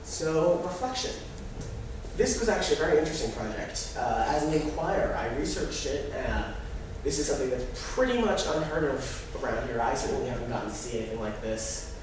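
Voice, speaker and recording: A man's voice: mid-range voice, expressive. Very echoey, distant young man presenting. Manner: halting, false starts and repeated phrases. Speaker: student presenter. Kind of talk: informal class presentation. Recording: very echoey, noisy room from afar.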